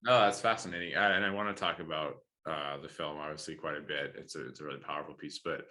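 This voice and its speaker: A man's voice: a bass voice, fairly even intonation, fast talker, bright, forceful tone. A man hosting an interview podcast, talking with enthusiasm and many fillers and restarts over a remote call. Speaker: podcast host.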